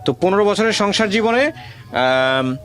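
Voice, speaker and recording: A man's voice: high-pitched, lively intonation, a little slow, a bit bright. A Bangladeshi man hosting a conversational radio interview, asking probing questions and repeating answers back. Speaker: radio show host interviewing guests.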